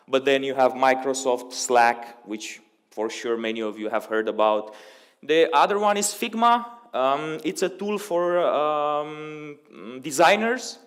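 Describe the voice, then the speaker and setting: A young man whose first language is Romanian presents to a conference audience at an ordinary middle pitch. He speaks casually, filling pauses with ums and uhs, and his voice trails off into a long hall reverb. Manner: conversational, many ums and uhs. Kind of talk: technical conference talk.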